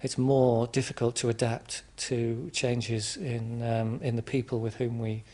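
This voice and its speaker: A man from England answering interview questions in a hesitant, reflective way, with frequent restarts and pauses. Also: medium pitch, little pitch movement, mellow. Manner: hesitant, many restarts and ums. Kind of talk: conversational interview.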